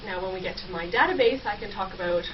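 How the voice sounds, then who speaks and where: A woman's voice: medium pitch, fast. Young woman explaining, muffled and distant. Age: young adult. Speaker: guest researcher, history PhD student. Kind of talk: conversational explanation in a radio interview. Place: campus radio station. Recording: muffled, noisy, off-mic radio audio.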